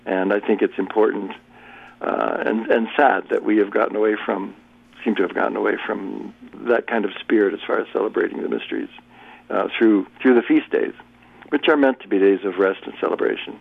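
A man with a low, gravelly voice talks slowly and thoughtfully, often restarting his sentences. He is heard over a tinny phone line. The sound is dry and close. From United States. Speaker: bishop, radio guest. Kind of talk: reflective radio interview.

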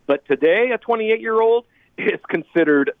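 A man's voice: sits high, expressive, moderate pace, no echo. A middle-aged American man from California, a priest speaking as an interview guest in an earnest, emphatic conversational way over a narrow phone line. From California, United States.

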